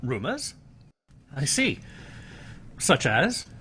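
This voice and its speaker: An actor playing a shrewd, commanding police inspector in a radio mystery, wry and pointed. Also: male voice, a little high, wildly swooping pitch, drawn-out words, sharp tone, slight background noise, full character voice. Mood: shrewd suspicion. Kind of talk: radio mystery drama acting.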